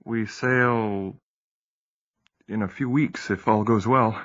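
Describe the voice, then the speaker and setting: Courteous sea captain, polite, gently firm, low bright voice, muffled audio. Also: male voice, steady tone, slow pace, no room sound. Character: temperate, good-humored male sea captain. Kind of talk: audio drama acting.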